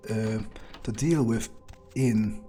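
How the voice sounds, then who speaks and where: Guy roleplaying a sardonic vampire in a game, slow hesitant musing to himself, faint music behind. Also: fairly low pitch, warm tone. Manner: hesitant, musing, dryly morbid, casual swearing. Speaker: let's player role-playing a character. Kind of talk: in-character improvised gameplay monologue.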